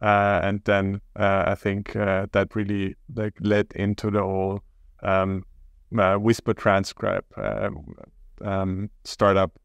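A man from Austria, a startup co-founder talking as a podcast guest in a hesitant, halting way. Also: lowish voice, monotone, clear, resonant tone, no room sound. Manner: hesitant, halting, many pauses. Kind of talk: casual interview talk.

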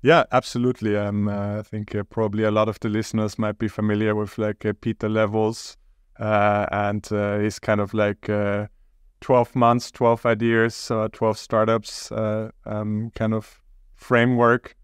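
Hesitant man, flat smooth voice. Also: fairly low pitch, no room sound, studio quality. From Austria. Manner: hesitant, halting, many pauses. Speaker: startup co-founder, podcast guest. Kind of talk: casual interview talk.

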